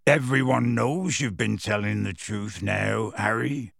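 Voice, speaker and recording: A man acts out a huge, big-hearted groundskeeper from a storybook. The voice is gruff and gravelly, with halting, homely phrasing and a lively swing in pitch. It is recorded close and dry. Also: no echo, exaggerated voice. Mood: soft, sombre. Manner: halting, folksy, colloquial.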